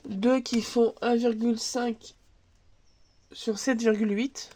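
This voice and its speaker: A woman's voice: fairly high pitch, fairly even intonation, takes it slowly, dark tone. Chatty woman with a stuffy cold. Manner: chatty, thinks aloud while working, filler words. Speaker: crafts channel host. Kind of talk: casual scrapbooking tutorial. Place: home craft table. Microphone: camera filming from above.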